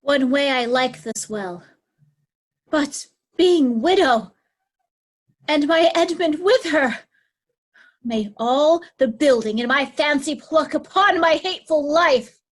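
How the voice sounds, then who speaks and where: A woman's voice: high voice, expressive, slow pace. A woman playing a scornful, scheming noblewoman in a Shakespearean play reading, with biting, declamatory verse delivery. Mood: brooding, calculating. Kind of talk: Shakespearean reader's theatre.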